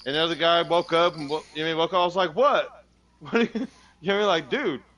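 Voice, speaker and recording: A man in casual chat, telling a story loudly with lots of swearing. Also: a little high, brisk. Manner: loud, swearing, animated storytelling.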